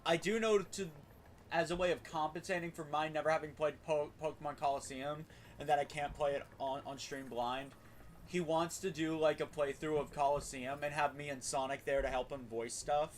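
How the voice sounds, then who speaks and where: A man's voice: fairly high pitch, steady tone, moderate pace, a little hiss or hum. A man hosting a casual game livestream, often stumbling over and repeating his words. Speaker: game streamer, host. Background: faint game music.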